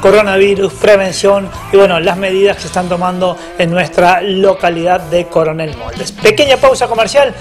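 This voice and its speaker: A man's voice: high-pitched, moderate pace, few pauses. A man who hosts a radio show and announces a break with an upbeat delivery. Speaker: radio host. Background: faint music.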